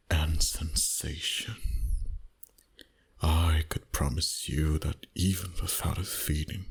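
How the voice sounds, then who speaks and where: A man playing a seductive, dominant vampire boss in an intimate ASMR roleplay, breathy and husky, often whispering, with a slow teasing delivery. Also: low-pitched, heavily acted.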